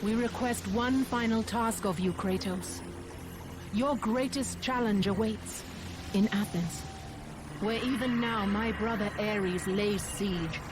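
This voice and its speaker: A woman playing a stately, commanding Greek goddess in a video game, speaking formally and gravely. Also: lowish voice, lightly acted. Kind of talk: dramatic video game acting. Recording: music under the voice.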